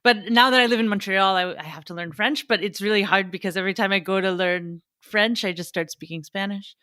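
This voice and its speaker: A woman's voice: mid-range voice, fairly quick, sharp tone, no echo, clean studio sound. A woman with a North American accent, from the United States, chatting casually and informally as a podcast host. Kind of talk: casual conversational podcast chat.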